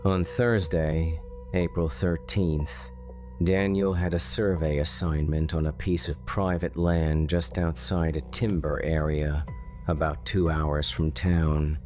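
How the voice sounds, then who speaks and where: A man narrating a scripted true-crime horror story in measured, short phrases with pauses. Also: rumbling low, flat delivery, a little mellow, soft background music.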